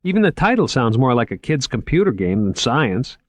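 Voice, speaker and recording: A man playing a high, eager, stammering young curator. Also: very animated, a little fast, dry recording. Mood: opinionated. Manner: eager, stammering when flustered. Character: eager shy young male museum curator.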